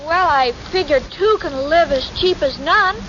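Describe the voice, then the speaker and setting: A dim, sweet young woman played by an actress speaks in a very high voice with hesitant, innocent earnestness. She belongs to a 1940s radio comedy, heard through a muffled, noisy old recording. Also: moderate pace, natural voice. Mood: sheepish. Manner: hesitant, earnest, naively illogical. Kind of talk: 1940s radio sitcom acting.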